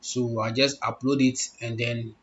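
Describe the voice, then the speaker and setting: A man's voice: flat delivery, a little slow, a bit bright, a little hiss or hum. Young man, tutorial host: instructive, repetitive, a slightly dull lo-fi mic. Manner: repetitive, instructive, checks in with the listener. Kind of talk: screen-recorded walkthrough. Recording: muffled low-fidelity audio.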